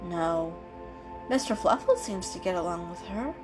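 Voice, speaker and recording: A woman voicing a gentle, anxious female cat with an earnest, hesitant manner in a dramatic storybook reading. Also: lowish voice, animated, moderate pace, close to an everyday voice. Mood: exasperated. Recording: music behind the reading.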